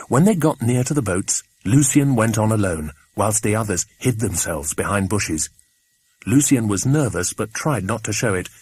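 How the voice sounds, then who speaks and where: A man's voice: lowish voice, a little mellow, slightly rough. A man with an English accent reading a children's adventure story as an audiobook narrator.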